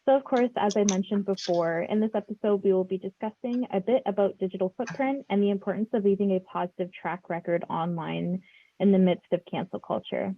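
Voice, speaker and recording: A young woman hosting a casual podcast chat, talking in a relaxed way with lots of fillers. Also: mid-range voice, a little fast, no echo, muffled recording. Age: young adult. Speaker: podcast host.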